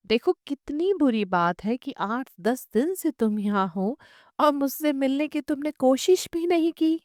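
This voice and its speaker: A woman voicing an excitable, reproachful woman who exclaims and chides an old acquaintance in an audiobook story. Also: high voice, measured pace, no room sound, clean studio sound, voice pushed up. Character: unmarried woman, excitable, reproachful, eager to keep company. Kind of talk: audiobook short story dialogue.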